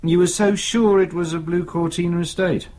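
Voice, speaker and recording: An actor playing a patient, probing British police superintendent in a radio crime drama, with measured, courteous questioning. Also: male voice, high-pitched, steady tone, darkish tone, natural voice. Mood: patient, insistent.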